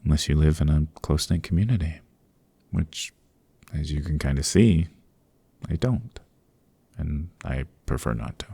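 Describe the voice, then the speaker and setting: A man playing a courteous, chatty old vampire in an ASMR roleplay, speaking in genteel, old-fashioned phrases with a deep, gravelly, husky voice. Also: normal speed, long pauses, dark tone, no echo, exaggerated voice. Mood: apologetic, wistful. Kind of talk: ASMR roleplay, interview monologue. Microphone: close microphone, dry.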